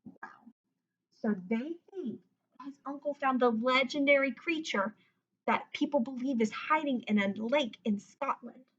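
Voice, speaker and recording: A high-pitched woman reads a children's story aloud with animated expression. She slips easily into chatty asides to her listeners. The recording is a little dull and lo-fi. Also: a little slow, slightly rough. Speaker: teacher reading a picture book aloud.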